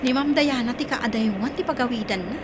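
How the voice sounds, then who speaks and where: A woman's voice: a little high, brisk, natural voice. A woman acting in a radio drama, playing a playful, teasing schoolteacher. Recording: loud background noise.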